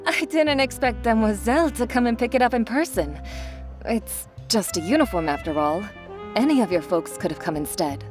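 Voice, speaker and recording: A woman playing a courteous, flattering shopkeeper in a video game, polite and deferential in manner. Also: fairly high pitch, moderate pace, faint music behind, close to an everyday voice.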